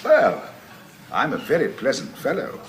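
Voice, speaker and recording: Cheeky eccentric time traveller from old tv sci-fi, pitch bouncing all over, kinda playful and flippant. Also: male voice, wildly swooping pitch, a little slow, a bit bright, a little hiss or hum. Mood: breezy, cheeky. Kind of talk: 1970s television drama acting.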